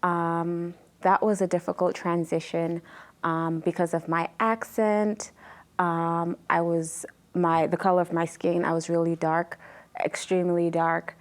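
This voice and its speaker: A woman in her thirties, originally from Jamaica, telling her life story as an interview guest with frequent pauses and fillers. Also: on the low side, level tone. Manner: many ums and pauses, earnest retelling.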